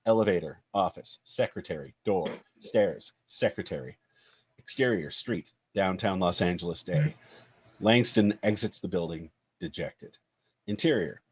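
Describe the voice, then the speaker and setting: A man reading screenplay stage directions as narrator in a scripted style, with a husky voice. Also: low voice.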